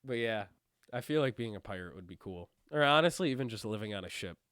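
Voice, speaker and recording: A man's voice: on the low side, animated, fast, fairly bright tone, clear, resonant tone, no echo. Young guy hanging out on a podcast, voice jumps around a lot, super dry close mic. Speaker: podcast co-host. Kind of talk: casual chat.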